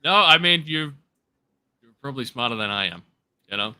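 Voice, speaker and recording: A man chatting casually as a podcast guest over a video call, easygoing and quick to laugh. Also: medium pitch, expressive, bright, forceful tone, clear, resonant tone, dry recording. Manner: laughs often, easygoing, lots of fillers. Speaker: guest content creator.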